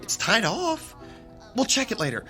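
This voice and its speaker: A man playing an eager theater stagehand, casual and quick-talking in a radio drama. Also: very high-pitched, wildly swooping pitch, pushed and bright, faint music behind, exaggerated voice.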